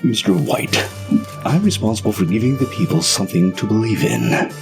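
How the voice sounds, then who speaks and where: Man playing creaky-voiced, calculating secret committee boss. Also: fairly low pitch, animated, moderate pace, over music. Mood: cold, authoritative. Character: smooth, secretive male leader of a shadowy government committee. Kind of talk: audio drama dialogue.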